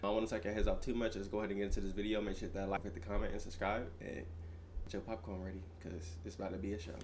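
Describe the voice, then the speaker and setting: Young guy, quick casual reaction chatter, kinda low voice, faint room noise. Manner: animated, exclaims loudly at surprises, repeats "I'm not gonna lie". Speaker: reaction video host.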